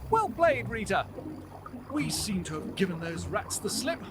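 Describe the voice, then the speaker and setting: An actor playing a posh, excitable young pet mouse with a British accent, prim, polite and easily flustered, in a video game. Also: male voice, high-pitched, unhurried, over music. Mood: polite, nervous.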